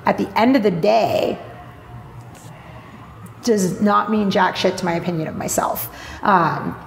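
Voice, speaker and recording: A woman's voice: medium pitch, measured pace, vocal fry. A woman guest in an interview, talking bluntly and openly with lots of fillers and some swearing. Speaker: podcast guest, marketing blogger. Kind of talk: candid interview talk. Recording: roomy echo with faint noise.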